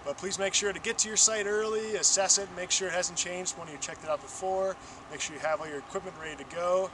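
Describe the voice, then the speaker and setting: A young American man giving an explanatory training talk in a halting way, as an instructor in the field. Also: high voice, steady tone. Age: young adult. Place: outdoors on a wooded riverbank. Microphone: camera mic a few steps away. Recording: distant camera mic, noisy outdoor ambience.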